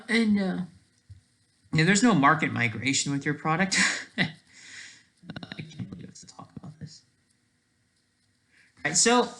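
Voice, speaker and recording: Off-the-cuff commentary, very animated pitch swings, halting restarts, sarcastic edge, mid-range voice.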